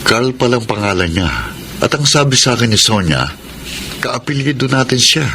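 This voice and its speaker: A man's voice: medium pitch, expressive, moderate pace, background noise, natural voice. A man playing a wealthy, kindly widowed father in middle age in a Filipino radio drama. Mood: pensive. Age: middle-aged.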